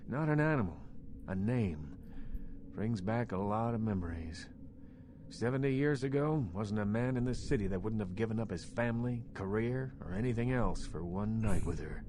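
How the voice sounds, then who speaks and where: Elderly vampire crime boss for a game: fairly deep, clipped, composed, menacing, noisy backdrop. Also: male voice, expressive, measured pace. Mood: reminiscing. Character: old, composed, sinister male vampire boss. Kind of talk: video game voice acting.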